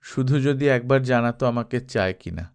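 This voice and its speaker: A man's voice: mid-range voice, animated, a little fast, clean tone, natural voice. A man voicing a playful, teasing young woman, a secretive sister-in-law, in a dramatised Bengali novel reading. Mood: wistful, evasive. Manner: teasing, mock-scolding.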